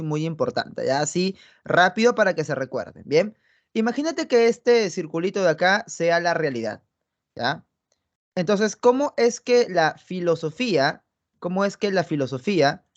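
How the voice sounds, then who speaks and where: Young male tutor, high voice, chatty online lecture, lo-fi computer mic. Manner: chatty, tags phrases with "¿no?" and "¿ya?"; talks directly to students, affectionate asides. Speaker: philosophy teacher. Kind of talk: online pre-university lecture. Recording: muffled lo-fi audio.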